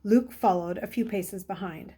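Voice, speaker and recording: A woman's voice: medium pitch, moderate pace, mellow, breathy edge. A woman reading a children's novel aloud as a storyteller. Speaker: story reader.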